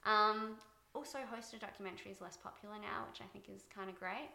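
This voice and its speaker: A soft-spoken woman being interviewed about her directing work, thoughtful and pausing with fillers. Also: mid-range voice, creaky, reverberant. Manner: thoughtful, many fillers and restarts. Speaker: guest, TV director. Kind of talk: reflective interview talk.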